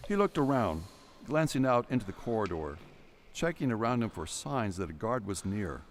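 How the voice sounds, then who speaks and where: A man with a low voice narrates an audio drama. He reads scripted prose in a dramatic storytelling manner, with faint noise behind him. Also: big pitch swings, normal speed.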